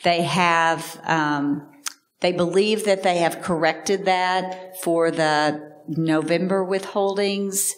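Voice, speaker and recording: A woman answering staff questions at an open forum, measured and hesitant with many fillers, in a meeting room. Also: fairly low pitch, steady tone.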